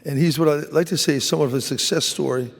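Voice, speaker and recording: A man from a mission team telling a story to a church congregation, halting and earnest, repeating words as he goes. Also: a little high, gravelly. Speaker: mission worker speaking at Mass. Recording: church hall reverb.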